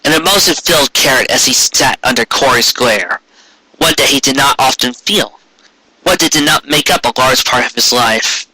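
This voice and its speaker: A man's voice: medium pitch, animated, moderate pace, sharp tone, husky, no echo, rough recording. A man narrating a fan fiction story in a dramatic amateur reading style.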